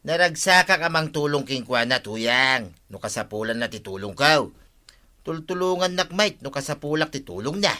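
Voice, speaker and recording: A man's voice: mid-range voice, expressive, moderate pace, sharp tone. A man with an Ilocano Filipino accent acting a loyal village buddy in a radio comedy-drama. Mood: protesting, loyal.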